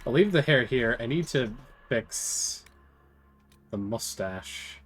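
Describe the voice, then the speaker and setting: Booming-voiced male game streamer. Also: animated, takes it slowly, fairly bright tone, soft background music. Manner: chatty, thinks aloud while playing. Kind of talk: casual live gameplay commentary.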